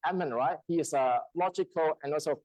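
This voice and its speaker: Halting storytelling man, dry close sound. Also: a little high, a little mellow, dry recording, poor audio. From China. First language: Chinese dialect. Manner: halting, storytelling, laughs often. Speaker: church speaker. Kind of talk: testimony sermon. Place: church hall.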